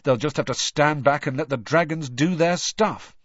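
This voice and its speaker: A man voicing a tense, defensive teenage boy wizard in a raised, sharp voice. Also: moderate pace, no echo, lightly acted. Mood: anxious. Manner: high, sharp and heated. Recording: dull, dry recording.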